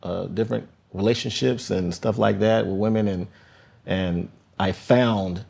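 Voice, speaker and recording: A man's voice: lowish voice, fairly even intonation, moderate pace, vocal fry, darkish tone, husky. A man in his forties, a former Navy SEAL guest, speaking earnestly and reflectively, often repeating words as he thinks. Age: 40s. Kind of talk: casual interview.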